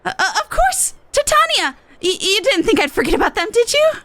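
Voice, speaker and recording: A woman's voice: extremely high pitch, very animated, fairly quick, fairly bright tone, dry recording, pitched above the performer's own voice, heavily acted. A woman voicing a mischievous little video game pixie in a playful, teasing, sing-song manner. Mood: flustered.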